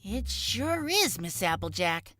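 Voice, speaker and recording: A woman's voice: medium pitch, sing-song, takes it slowly, sharp tone, exaggerated voice. A woman voicing a clever, stubborn but laid-back schoolboy pony colt in a cartoon fan audio story. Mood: casual. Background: music.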